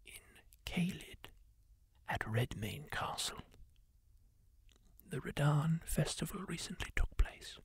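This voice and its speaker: A man voicing a kindly masked healer-warrior, telling tales in a slow, breathy ASMR whisper close to the mic. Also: on the high side, expressive, heavily acted. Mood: hushed. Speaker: ASMR roleplayer. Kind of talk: whispered ASMR roleplay monologue.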